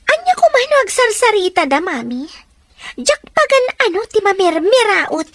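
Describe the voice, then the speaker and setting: Very high, childlike spoiled daughter. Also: female voice, very animated, normal speed, no echo, studio quality. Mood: puzzled, whiny. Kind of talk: Ilocano radio drama acting.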